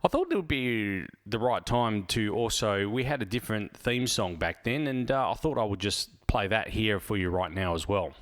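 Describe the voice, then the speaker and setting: A man with an Australian accent hosting a casual motoring podcast, talking in a relaxed, rambling way with fillers and restarts, recorded in a small home office. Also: fairly low pitch, moderate pace, hardly any pauses. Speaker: podcast host.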